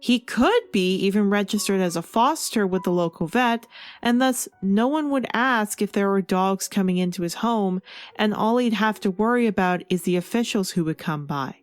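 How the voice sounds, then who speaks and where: A woman's voice: medium pitch, expressive, moderate pace, dry recording, faint music behind. A woman delivering scripted video essay commentary as a review host, explaining her opinions and stressing key words. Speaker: comic book review host.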